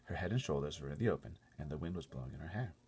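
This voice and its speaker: A man reading a children's story aloud as an expressive narrator. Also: extremely low pitch, very fast. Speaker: storybook reader.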